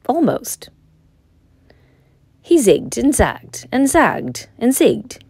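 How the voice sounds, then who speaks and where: A woman reading a children's story aloud as narrator, in an expressive, dramatic manner. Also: on the high side, very slow, breathy edge, no echo.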